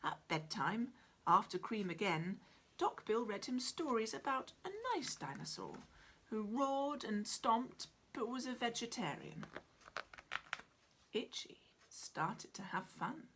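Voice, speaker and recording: Slow, lively woman reading a storybook. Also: on the high side, slight background noise. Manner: dramatic, deliberate. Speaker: author reading own picture book. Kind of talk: expressive children's storybook narration. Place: small cluttered room at home, indoors.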